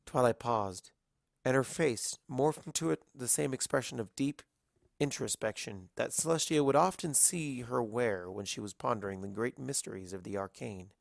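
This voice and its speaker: A man's voice: medium pitch, normal speed, creaky, dry recording, studio quality. A man narrating a scripted story as a reader of fiction.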